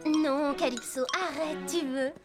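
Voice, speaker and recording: A woman voicing a caring, expressive girl who looks after horses in a French cartoon dub. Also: high voice, measured pace, loud music behind the voice. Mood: exasperated.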